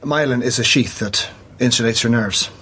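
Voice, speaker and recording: An actor playing a candid, careful hospital consultant who explains things hesitantly in a radio drama. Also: male voice, mid-range voice, moderate pace, bright, forceful tone, a little hiss or hum, natural voice. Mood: measured. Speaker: doctor.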